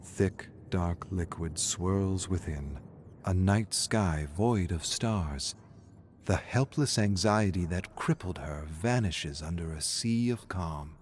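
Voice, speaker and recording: A man narrating a dark scripted horror story in a measured, solemn, dramatic manner. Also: low-pitched, a little hiss or hum.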